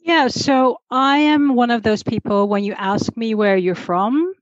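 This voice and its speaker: A woman's voice: on the high side, a little slow, no room sound. Chatty woman on a muffled remote call. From grew up in Singapore. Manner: chatty, many ums and repeated starts. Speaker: guest, communications manager. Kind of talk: podcast interview.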